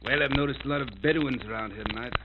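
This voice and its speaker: Actor as a quick-talking dutiful constable, old radio. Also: male voice, medium pitch, gravelly, lightly acted. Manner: respectful, plain reporting. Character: dutiful, deferential male police constable on watch. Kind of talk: 1930s radio drama acting. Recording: noisy, dull 1930s radio recording.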